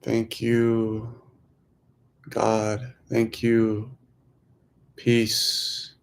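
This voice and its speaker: A man leading a guided meditation in a soothing, unhurried manner, speaking in short phrases with long pauses. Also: medium pitch, completely flat delivery. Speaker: meditation guide, host of a weekly group.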